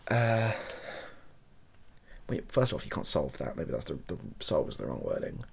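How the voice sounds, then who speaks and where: A softly spoken British man improvising a monologue as a video host, hesitant, thinking aloud and correcting himself as he goes. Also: a bass voice, very animated, moderate pace, vocal fry, a little hiss or hum. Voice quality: soft-spoken.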